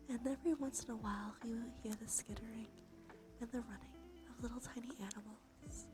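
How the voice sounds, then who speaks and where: A woman giving an ASMR guided visualization in a slow, soothing, gently coaxing manner. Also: on the high side, fairly even intonation. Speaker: ASMR streamer. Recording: music behind the voice. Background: music.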